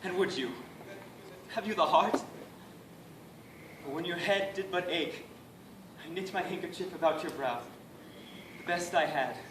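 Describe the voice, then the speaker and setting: A man playing a gentle young prince in an amateur Shakespearean monologue, pleading in earnest, deliberate verse. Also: high voice. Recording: echoey, noisy room, distant microphone.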